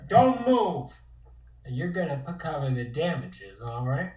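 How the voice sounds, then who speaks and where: Man playing an awkward, high-voiced loyal young guy. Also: sing-song, unhurried, a little mellow. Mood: blustering. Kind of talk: dramatic table read of a comic script. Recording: distant, echoey room sound.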